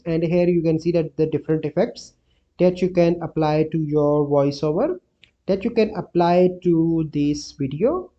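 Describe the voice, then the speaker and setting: Higher-pitched man narrating a muffled tutorial. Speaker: tutorial host. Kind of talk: step-by-step app tutorial narration. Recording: dull, lo-fi voice-over.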